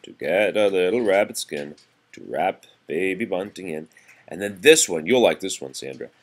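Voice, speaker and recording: A man's voice: low-pitched, lively intonation, normal speed. A man reading nursery rhymes aloud in a sing-song way, chatting casually to a child between them as a parent would.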